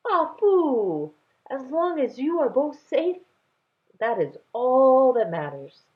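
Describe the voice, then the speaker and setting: An anxious, loving old grandfather from a storybook as a woman reader acts him out, urgent and protective. The voice is pitched high and swings widely with emphatic exclamations, through a tinny, phone-like recording. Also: very animated, takes it slowly, exaggerated voice. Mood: relieved, scolding. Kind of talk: children's storybook read-aloud. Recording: tinny, phone-like narrow sound.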